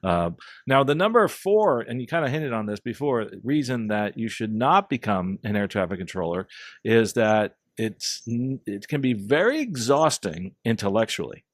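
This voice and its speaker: A man's voice: mid-range voice, big pitch swings, moderate pace, no room sound, studio quality. A man hosting a podcast interview in a conversational style, guiding the discussion. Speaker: podcast host, airline pilot.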